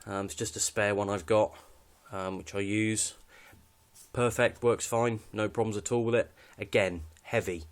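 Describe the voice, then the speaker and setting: A man's voice: fairly low pitch, steady tone, moderate pace, clean tone. A British man giving a casual, unscripted walkthrough of his kit in a matter-of-fact, slightly hesitant way. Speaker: hobbyist presenter showing radio kit.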